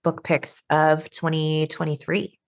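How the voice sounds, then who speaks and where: A woman in her thirties co-hosting a book podcast, gushing and quick to laugh in casual chat. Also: low-pitched, little pitch movement, gravelly. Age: 30s. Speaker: podcast co-host.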